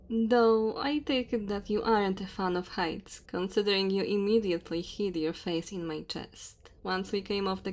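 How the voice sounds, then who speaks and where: A woman voicing a dominant, teasing immortal dragon woman in an ASMR roleplay, haughty and speaking straight to the listener. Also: medium pitch. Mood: playful teasing. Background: cave ambience and fire sound effects.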